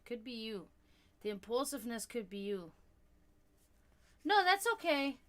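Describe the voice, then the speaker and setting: A woman born and raised in Germany, with German as her first language, chatting warmly as she reads tarot cards for viewers on a live stream. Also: on the high side, unhurried. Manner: chatty, animated, laughs often, talks to chat.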